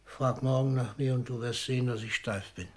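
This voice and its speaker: A man's voice: mid-range voice, steady tone, brisk, a little mellow, natural voice. An older actor in a German radio play, playing an ailing, philosophical old poet with a wry, fatherly manner. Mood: resigned, theatrical. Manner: philosophical, wryly theatrical, with whispered asides.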